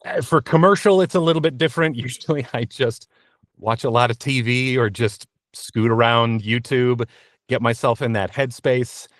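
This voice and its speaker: A man's voice: mid-range voice, moderate pace, dry recording, clean studio sound. A man answering as an interview guest in a conversational, practical, explanatory way. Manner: practical, explanatory advice-giving.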